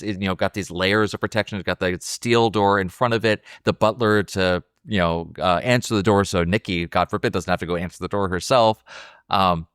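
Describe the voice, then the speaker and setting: A man with a fairly low voice talks through a film in a casual, enthusiastic podcast style. He thinks aloud as he goes, and the sound is dry and close. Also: a bit bright, no room sound. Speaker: podcast host.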